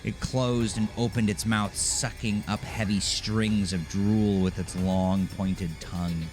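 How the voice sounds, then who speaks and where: A man narrating a scripted horror story in a measured, dramatic manner. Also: on the low side, little pitch movement, over music.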